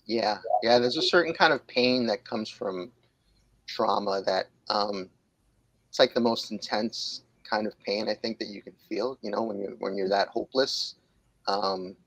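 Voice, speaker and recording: Gravelly-voiced man in his forties, candid. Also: on the low side, dry recording, poor audio. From New York. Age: 40s. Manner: candid, reflective, hesitant with fillers. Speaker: guest, jiu-jitsu instructor and author. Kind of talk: podcast interview.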